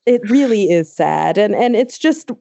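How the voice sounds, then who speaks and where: A woman's voice: medium pitch, measured pace, creaky, no room sound. Chatty woman podcast host, dull lo-fi sound. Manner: animated, laughs often, chatty with fillers like "like" and "um". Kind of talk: casual podcast interview.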